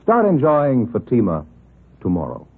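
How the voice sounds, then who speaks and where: An American man reading a scripted 1950s radio commercial as an announcer, with emphatic, deliberate salesmanship. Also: mid-range voice, huge pitch swings, music in the background. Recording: muffled old radio recording.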